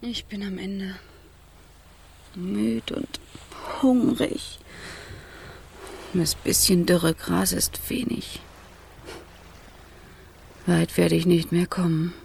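A woman playing a willful young female fire spirit in a German children's radio play, theatrical and expressive. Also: lowish voice, extremely slow, gravelly, long pauses, a little hiss or hum, heavily acted. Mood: weary, sad. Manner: theatrical, expressive, swinging between pleading and coaxing.

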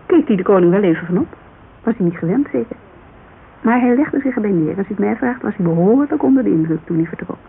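Casting: talkative, loyal businesswoman, informal and gravelly, giving testimony in a radio play; narrow, hissy sound. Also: medium pitch, quick pace. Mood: earnest, loyal. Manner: informal, digressive, animated storytelling. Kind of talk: naturalistic radio drama dialogue. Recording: muffled, hissy old radio recording.

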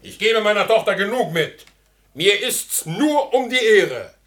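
A man's voice: sits high, lively intonation, unhurried, a bit bright. An actor playing a pompous, foolish, blustering rich father in a 1960s German radio comedy. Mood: stubborn bluster.

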